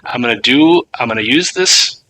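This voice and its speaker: A man's voice: medium pitch, animated, normal speed, bright, forceful tone, poor audio. A man co-hosting a podcast, chatting casually and enthusiastically about tabletop games. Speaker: podcast co-host. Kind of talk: casual tabletop gaming chat.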